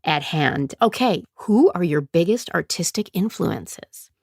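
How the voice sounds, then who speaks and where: A woman's voice: on the low side, lively intonation, unhurried, vocal fry, breathy edge, no room sound, clean studio sound, exaggerated voice. A woman voicing an impatient, brusque interviewer who keeps pushing her back on track with exasperated questions.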